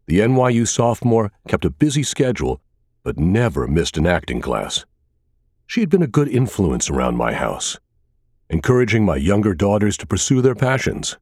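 A man reading a scripted first-person thriller as an audiobook narrator, with a measured, dramatic delivery. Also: lowish voice, sing-song, darkish tone, slightly rough, no echo, studio quality.

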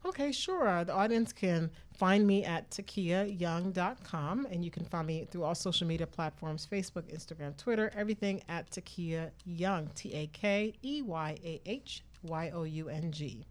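A woman's voice: on the low side, moderate pace, few pauses. A woman hosting a casual radio talk show in a chatty, informal way.

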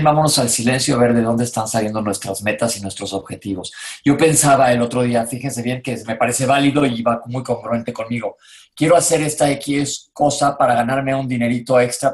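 A man's voice: mid-range voice, a little fast. A man with a Mexican accent co-hosting a casual conversation, speaking in an informal, colloquial and relatable way. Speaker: co-host.

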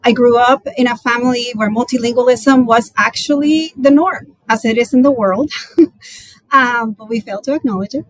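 A woman from the Republic, a Spanish speaker, speaking deliberately and searching for words as an interview guest. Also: fairly high pitch, no room sound, rough recording. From Dominican Republic. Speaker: clinical associate professor, podcast guest. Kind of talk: reflective conversational interview answer.

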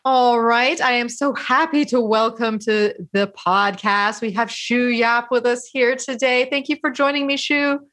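A woman's voice: fairly high pitch, steady tone, measured pace, few pauses, fairly bright tone. A woman from California hosting a podcast interview, upbeat and chatty with some fillers. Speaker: podcast host.

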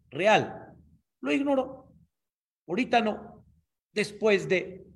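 A man, a rabbi teaching a Torah class and explaining patiently, often checking that listeners follow. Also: medium pitch. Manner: explanatory, checks understanding with frequent asides.

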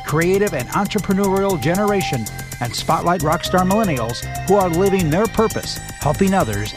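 A man reading the scripted introduction of a podcast. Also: a little high. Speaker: show announcer. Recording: music bed behind voice.

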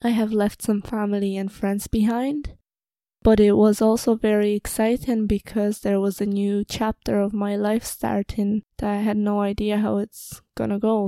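A young woman talks casually on her own podcast, a little nervous and hesitant. Her voice is soft-edged and mellow at an average pitch. The sound is close and dry. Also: little pitch movement, measured pace, dry recording. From Czech Republic, moved to Ireland at twelve. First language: Czech. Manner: slightly nervous, hesitant, informal. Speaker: podcast host. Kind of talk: casual personal podcast monologue.

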